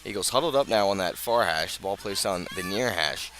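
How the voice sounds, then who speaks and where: Male American sports announcer, lower-pitched but very bright and forceful, live radio football play-by-play, outdoors at the stadium. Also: animated, rough recording. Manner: measured scene-setting, rising to urgent calls.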